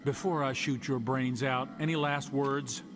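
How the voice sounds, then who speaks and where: An actor playing a cruel, smug Nazi officer in a video game, with a mocking, condescending delivery. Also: male voice, medium pitch, steady tone, unhurried, noisy background, lightly acted. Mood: smug mockery. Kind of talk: video game villain acting.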